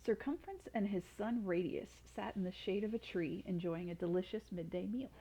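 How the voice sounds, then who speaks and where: Mellow-voiced woman reading a storybook. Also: medium pitch, brisk, a little hiss or hum, low-quality recording. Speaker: storytime reader. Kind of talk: reading a children's storybook aloud.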